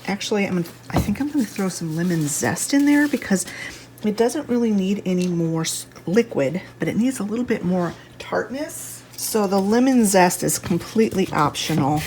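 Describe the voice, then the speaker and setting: Woman, lowish voice, congested nose, chatty home cook, casual demonstration, noticeable background noise. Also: darkish tone. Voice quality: congested, slightly stuffy nose sound. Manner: unhurried, chatty, thinking aloud. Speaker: home cook, video host. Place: home kitchen.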